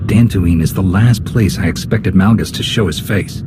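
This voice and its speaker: An actor playing a resolute, courteous Jedi commander in a video game, steady and earnest. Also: male voice, sits low, moderate pace, noisy background, close to an everyday voice. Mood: concerned. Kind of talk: scripted video game voice acting. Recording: loud music under the voice.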